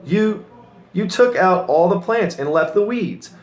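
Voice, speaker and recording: A man's voice: sits high, animated, unhurried, voice pushed up. A man voicing an exasperated but fair landscaping boss in a children's story read-aloud. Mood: shocked, exasperated.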